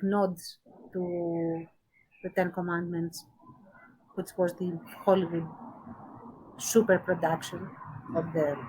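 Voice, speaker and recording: A woman co-hosting a casual podcast discussion, talking thoughtfully with pauses and emphasis on key words. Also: on the low side, a little hiss or hum, rough recording. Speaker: podcast co-host.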